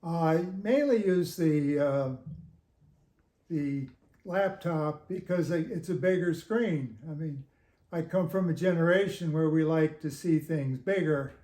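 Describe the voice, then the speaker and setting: A man in his seventies giving casual interview answers in a halting, measured way with frequent pauses. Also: fairly high pitch, warm tone. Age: 70s. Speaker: guest reviewer of audio gear. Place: home living room with hi-fi gear. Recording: echoey room.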